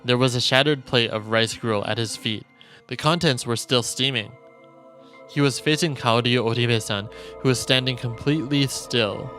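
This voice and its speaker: A man's voice: mid-range voice, moderate pace, pushed and bright. A man streaming a game, expressively reading visual novel narration aloud. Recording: dry close mic, faint game music.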